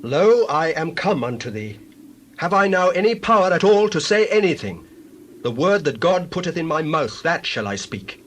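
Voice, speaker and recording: Theatrical, animated prophet Balaam. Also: male voice, on the high side, moderate pace, a little hiss or hum. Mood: solemn. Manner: declamatory, theatrical. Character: Balaam, intense theatrical male biblical prophet. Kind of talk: dramatized scripture reading.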